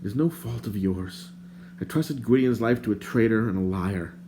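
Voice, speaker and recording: A man's voice: on the low side, moderate pace, dark tone, a little hiss or hum, lightly acted. A man voicing a proud, hot-tempered young male hero in an audiobook, curt and commanding. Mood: bitter.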